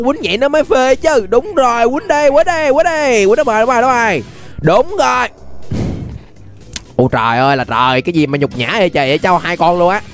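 A young man with a Southern Vietnamese accent giving excited, exclamatory gameplay commentary, repeating phrases and dropping in English interjections. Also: high-pitched. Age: young adult. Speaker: gaming YouTuber. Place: indoor gaming room.

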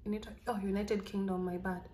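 A young Kenyan woman with a Kenyan accent, talking through a casual tutorial at an average pitch. She is chatty and encouraging and slips back and forth between Swahili and English. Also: little pitch movement, fast talker, mellow, a little hiss or hum. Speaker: vlogger.

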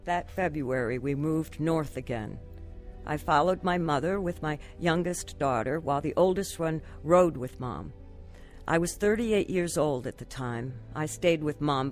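A woman's voice: sits low, measured pace, a little mellow, soft background music. An actress playing a troubled, despondent mother in her thirties, narrating and acting in an earnest radio drama style. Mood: somber, reflective.

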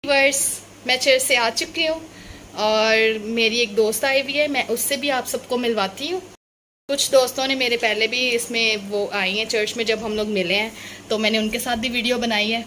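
A woman's voice: on the high side, steady tone, normal speed, pushed and bright, a little hiss or hum, low-quality recording. A young woman chatting to viewers in a casual home vlog, filmed on a phone at arm's length. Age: young adult. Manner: chatty, addresses viewers directly. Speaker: vlogger and Sunday school teacher. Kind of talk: casual vlog chat to camera. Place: small room at home, indoors. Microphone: phone camera at arm's length.

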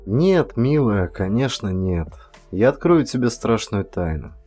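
A man's voice: fairly low pitch, very animated, a little slow, mellow, husky, exaggerated voice. Man reading as a tender, earnest male lover. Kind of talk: audiobook character dialogue. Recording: music under the voice.

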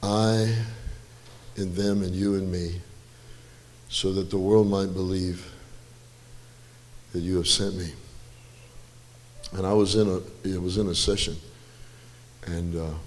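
An older man, a guest musician, telling a relaxed, rambling story with self-deprecating asides at a church, his voice slightly hoarse, on a handheld mic. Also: low voice, slow pace, pauses often. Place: church stage, large indoor room. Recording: reverberant church hall with faint music.

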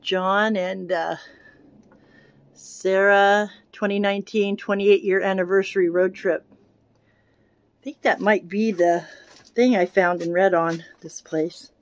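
Woman musing aloud, medium pitch. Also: fairly even intonation, slow pace, long pauses. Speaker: urban exploration vlogger. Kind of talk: casual walkthrough commentary. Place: in and around derelict wooden buildings. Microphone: handheld camera or phone, operator behind it.

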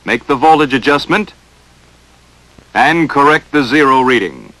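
Bright, animated American training-film narrator man. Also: medium pitch, measured pace, no echo, low-quality recording. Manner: stern, emphatic, dramatic coaching delivery. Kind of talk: 1940s instructional film narration, second-person. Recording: vintage film soundtrack.

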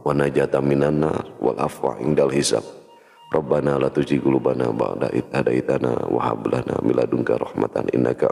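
A man's voice: a bass voice, creaky, a little mellow. A man in his forties with a Sundanese accent, giving a solemn, murmured devotional talk and prayer recitation close to the microphone. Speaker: storyteller leading a closing prayer. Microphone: studio condenser with pop filter, very close. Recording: long hall reverb, music behind. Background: music.

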